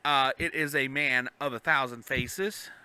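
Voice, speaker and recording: A man's voice: medium pitch, steady tone, measured pace, bright, forceful tone, clean tone, dry recording, rough recording. A man hosting a podcast in casual banter, joking and teasing as he goes. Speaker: podcast host.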